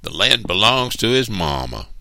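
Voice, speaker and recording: A man's voice: lowish voice, sing-song, moderate pace, gravelly, bright, forceful tone, no room sound, voice pushed up, exaggerated voice. A man voicing a haughty, menacing Southern lumber boss in an audiobook story, with folksy talk and veiled threats. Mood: brusque, folksy.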